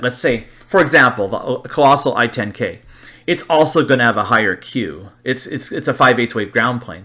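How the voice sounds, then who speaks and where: Halting, plain-spoken man explaining hands-on gear. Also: medium pitch, sharp tone. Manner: halting, rambling, repeats words while thinking aloud. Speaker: presenter of an antenna review. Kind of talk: informal slide-show explainer, talking off the cuff.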